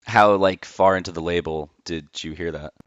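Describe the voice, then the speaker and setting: A man with a very deep voice hosts a relaxed podcast chat, loose and conversational, swearing freely. His mic sounds dry and close, and the audio is a bit muffled. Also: moderate pace, dry recording. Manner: laid-back, swears casually, conversational. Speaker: podcast host. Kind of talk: casual podcast interview.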